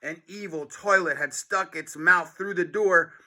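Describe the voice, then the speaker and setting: A man reading a children's book aloud in an expressive, dramatic narration, with asides to young listeners. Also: high voice, a little slow.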